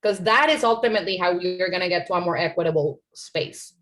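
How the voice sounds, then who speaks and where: A woman's voice: lowish voice, brisk, fairly bright tone. A woman from Puerto Rico answering questions on a panel, earnest and conversational, often adding "like" and "right?". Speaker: panel speaker.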